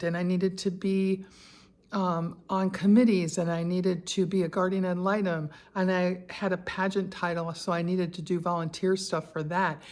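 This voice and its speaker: An older woman, telling a personal story to camera in an easy, conversational way. Her voice sits a little low, and she keeps things chatty and candid, dropping in asides and small laughs. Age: 60s. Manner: conversational with frequent fillers and asides. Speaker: lifestyle vlogger. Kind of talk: personal reflective vlog.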